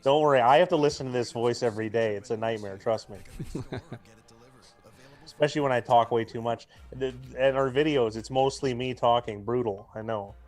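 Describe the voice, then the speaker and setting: A young man with a booming voice, co-hosting a live football watch stream in rambling casual chat, mic clipped to his jacket. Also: moderate pace, a little mellow. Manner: rambling, talkative, full of restarts and fillers. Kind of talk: casual chat and game commentary. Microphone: jacket used as mic mount.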